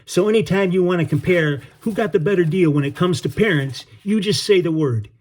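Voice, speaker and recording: A man voicing a tough, blunt sailor with a New York accent and slangy, gruff talk. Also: mid-range voice, steady tone, quick pace, mellow, close to an everyday voice. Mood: angry scolding.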